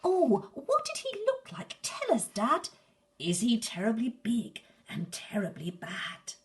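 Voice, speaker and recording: Woman doing a squeaky, bold gruffalo child. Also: takes it slowly, heavily acted. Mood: excited. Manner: high, excited exclamations. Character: bold curious young female gruffalo child.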